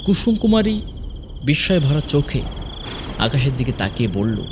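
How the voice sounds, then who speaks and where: A man narrating a scripted horror story dramatically, in measured, suspenseful phrases with pauses. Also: medium pitch, sing-song, background noise.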